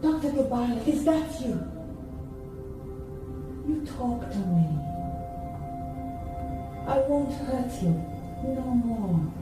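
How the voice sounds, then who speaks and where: A heavily echoing, far-off female voice, high and slow, an eerie visitor in a radio play. She pleads and searches as she calls out. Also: lively intonation, over music. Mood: eerie, pleading. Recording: very echoey, distant from the mic.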